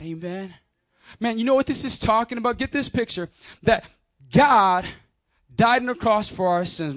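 Man preacher, high voice, casual and emphatic, close dry sound, calls for repeat-after-me. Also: a bit bright, no room sound. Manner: casual, emphatic preaching with slang and call-and-response. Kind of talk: sermon. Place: conference hall.

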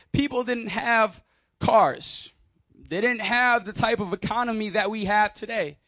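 High-voiced, casual preaching man. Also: fairly bright tone, no room sound. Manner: casual, emphatic preaching with slang and call-and-response. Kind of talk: sermon. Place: conference hall.

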